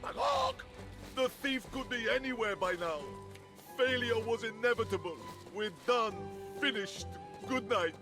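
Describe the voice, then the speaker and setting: An actor playing a defeatist, melodramatic war god turned rooster, full of self-pity, in a video game cutscene. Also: male voice, very high-pitched, big pitch swings, measured pace, over music, exaggerated voice. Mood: melodramatic despair. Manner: gloomy, melodramatic.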